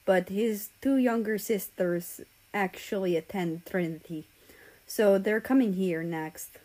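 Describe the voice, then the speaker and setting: A woman's voice: mid-range voice, slow, warm tone, close to an everyday voice. A woman voicing an earnest, rambling teenage boy who confides hesitantly in his friends in a story. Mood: earnest, embarrassed.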